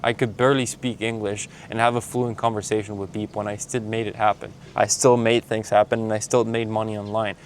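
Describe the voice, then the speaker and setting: A man's voice: lowish voice, little pitch movement, brisk, hardly any pauses, slight background noise. Blunt Mexican-accented man giving a pep talk. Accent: Mexican Spanish. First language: Spanish. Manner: blunt, pep-talk delivery, calling the listener man and bro. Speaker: sales coach. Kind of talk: motivational vlog monologue. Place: outdoors while traveling. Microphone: handheld camera filming himself.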